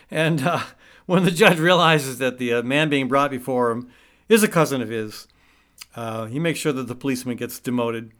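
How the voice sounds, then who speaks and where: A man's voice: medium pitch, moderate pace, long pauses, fairly bright tone. A man hosting and talking casually, rambling with many fillers and restarts and making wry asides. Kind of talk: casual spoken commentary. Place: Des Moines.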